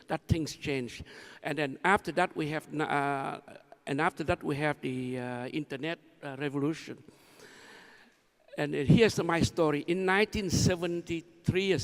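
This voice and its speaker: A seventy-year-old man from Vietnam with a Vietnamese accent, giving an informal speech with personal anecdotes in halting, repetitive phrases. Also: on the high side. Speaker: conference speaker, new board member. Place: large conference hall. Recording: echoey large hall sound.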